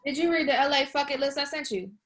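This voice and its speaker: A woman's voice: high-pitched, fast talker, fairly bright tone. A woman playing an anxious, broke and dramatic young woman with a sarcastic, slangy manner in a comedy table read. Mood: flat, disappointed.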